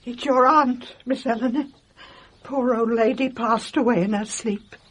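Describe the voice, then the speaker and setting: An actress playing a mournful, deferential housekeeper, an older woman with a husky voice, in a radio drama. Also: a little high, fairly even intonation, measured pace, a little hiss or hum, lightly acted, low-quality recording. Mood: hushed, mournful.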